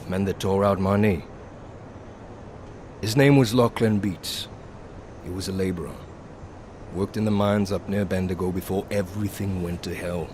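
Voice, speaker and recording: An actor playing a blunt, wry, weary pilot with a philosophical streak in a video game cutscene. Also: male voice, low voice, fairly even intonation, moderate pace, pauses often, darkish tone, a little hiss or hum, close to an everyday voice. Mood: bitter reflection. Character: weary, philosophical male pilot with an old knee injury.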